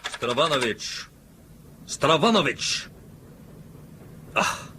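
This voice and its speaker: An actor playing an indignant ship's captain held captive, in a radio drama. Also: male voice, mid-range voice, sing-song, takes it slowly, bright, forceful tone, a little hiss or hum, heavily acted. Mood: calling out. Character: indignant Russian ship's captain taken prisoner.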